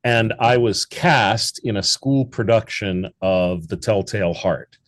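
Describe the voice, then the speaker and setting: A man's voice: lowish voice, slow. A middle-aged man, a theatre founder, chatting as an interview guest, rambling with many restarts and fillers. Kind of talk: casual interview chat.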